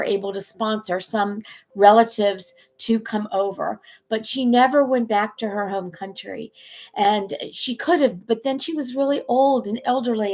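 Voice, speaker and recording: A woman's voice: medium pitch, darkish tone, rough recording. A middle-aged American woman hosting her own channel, talking earnestly and emotionally to camera with fillers and restarts. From United States. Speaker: channel host. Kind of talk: personal talk to camera.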